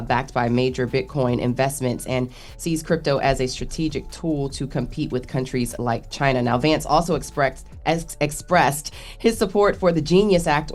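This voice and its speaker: An American woman with a notably low voice delivers radio news. She moves between reading copy and chatting, often stumbling over a word and starting again. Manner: halting, stumbling over words and restarting. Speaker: news reporter on a radio morning show. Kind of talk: news report with conversational asides.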